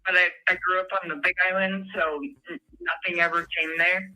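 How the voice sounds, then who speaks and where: A man's voice: high-pitched, little pitch movement, brisk, bright, forceful tone, poor audio. A man from Hawaii calling in to a talk show, telling stories in a chatty, giggly way. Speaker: caller. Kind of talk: casual phone-in chat.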